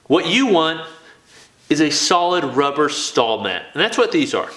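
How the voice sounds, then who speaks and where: A young man talks straight to the camera from his home garage gym, loud and emphatic, with a bright, forceful voice a little high for a man. His words bounce around a hard, echoey room while he moves about on camera, and he tags "okay?" onto his points. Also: very animated, a little slow. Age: young adult. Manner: emphatic, keeps adding "okay?". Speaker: fitness video host. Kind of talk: casual talk to camera. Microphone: camera mic, moving around the room.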